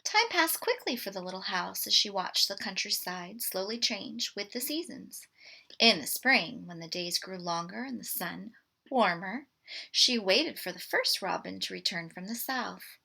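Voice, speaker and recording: Bright, husky woman reading a storybook slowly. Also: mid-range voice. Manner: deliberate, expressive reading with asides to listeners. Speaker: storybook read-aloud presenter. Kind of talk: picture book read-aloud for children.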